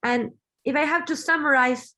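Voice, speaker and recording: A woman in her thirties from Argentina, giving an earnest online talk over a video call, often restarting her phrases and filling pauses. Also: sits high, bright, forceful tone. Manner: earnest, halting, many restarts and fillers. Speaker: webinar speaker. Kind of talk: online presentation.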